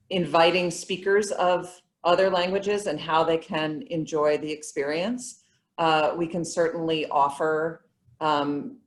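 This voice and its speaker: A woman speaking as a museum representative on a panel, explaining things with enthusiasm. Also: low-pitched. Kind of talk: panel discussion answers.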